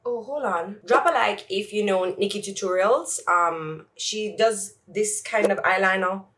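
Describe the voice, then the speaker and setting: A woman's voice: mid-range voice, unhurried, a bit bright. Sniffly woman vlogging from afar. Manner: rambling, joking, self-talk asides. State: sniffly, congested nose. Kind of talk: casual chatty vlog while doing makeup. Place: home closet. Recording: voice from far off the mic.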